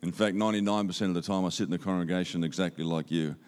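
Aussie guy guest preaching, low voice, casual and punchy, repeats himself for emphasis. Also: fairly even intonation, racing, darkish tone. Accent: Australian. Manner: upbeat, conversational, emphatic repetition. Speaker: guest speaker. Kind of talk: church sermon. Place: church auditorium. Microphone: handheld microphone.

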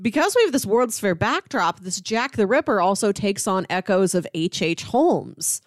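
A woman's voice: mid-range voice, very animated, normal speed, no room sound. Enthusiastic woman podcaster, dry close sound. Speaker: podcast co-host. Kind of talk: casual comic book discussion.

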